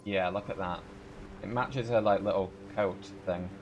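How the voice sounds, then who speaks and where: A man's voice: sits low, measured pace, dull audio, slight background noise. A man live streaming a video game, casually thinking aloud as he plays. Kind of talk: casual gameplay commentary. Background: video game music and sounds.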